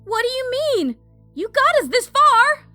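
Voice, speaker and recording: Actress as impulsive, hot-headed young fire mage for audio drama; bright, very high voice, restless and emphatic. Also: female voice, big pitch swings, a little slow, no echo, heavily acted. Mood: urgent, excited. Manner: impatient, emphatic outbursts. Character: fiery impulsive young female pyromancer, restless and hot-tempered. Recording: music under the voice. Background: music.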